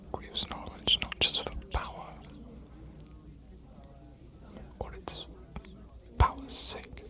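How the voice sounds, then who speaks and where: Whispering scholarly vampire, acted by a performer, slow and confiding. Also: lightly acted. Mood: intrigued, enticing. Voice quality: breathy whisper, almost no voicing. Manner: measured, formal, confiding, philosophical. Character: scholarly ancient vampire, courtly, bookish, gently enticing mentor. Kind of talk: whispered ASMR roleplay.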